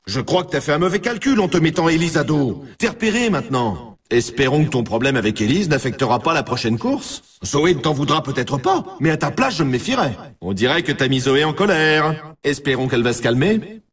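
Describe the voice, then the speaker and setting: Excitable, husky game announcer. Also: male voice, a little high, a little fast, distant mic. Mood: teasing warning. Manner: emphatic, addresses the player familiarly. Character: excitable male snowboard race announcer, warning and needling. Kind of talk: hyped sports commentary for a video game.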